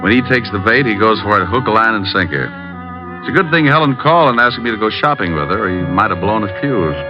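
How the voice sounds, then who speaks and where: An actor as a low-voiced wisecracking radio detective. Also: male voice, lively intonation, fast, over music, natural voice. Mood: amused. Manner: flippant, teasing, slangy. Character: wisecracking, playful male private detective, teasing his girlfriend. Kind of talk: 1940s-50s radio detective drama acting. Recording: dull old-time radio recording.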